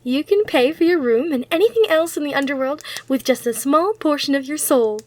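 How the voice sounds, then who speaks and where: A woman's voice: high voice, fairly quick, darkish tone, lightly acted. A woman voicing a sly, courteous female demon hotel receptionist in an ASMR roleplay, with reassuring customer-service patter. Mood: reassuring, coaxing.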